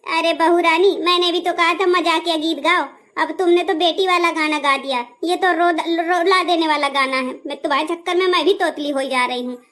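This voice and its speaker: A woman puts on a nosy cartoon auntie from next door, with a very high-pitched voice. She needles and teases, suspicious and blunt, in a comic family skit. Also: steady tone, a little fast, fairly bright tone.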